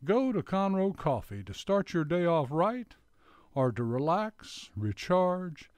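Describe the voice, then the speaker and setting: A man's voice: sits high, sing-song, takes it slowly, darkish tone, dry recording. A man announcing for radio, reading scripted credits and sponsor copy.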